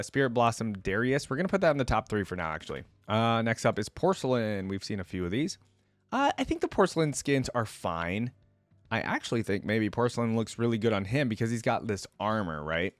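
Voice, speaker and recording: Casual reaction guy with swooping, lively pitch. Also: dry recording. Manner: slangy, thinks aloud, hedging opinions as he goes. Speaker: reaction video host.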